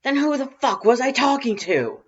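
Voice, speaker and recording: A loud, irate skeleton brother, rough and gravelly, pitched well above the reader's voice. He is crude and aggressive, barking in a fan-fiction audio story, on a slightly dull recording. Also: lively intonation, normal speed. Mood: irritated yelling. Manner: shouting, crude, aggressive, swearing.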